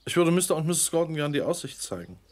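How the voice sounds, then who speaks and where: Lively-pitched, courteous village gentleman. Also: fast. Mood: genial. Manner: polite, assured. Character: courteous, inquisitive village gentleman, genial and composed. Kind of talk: German radio drama acting.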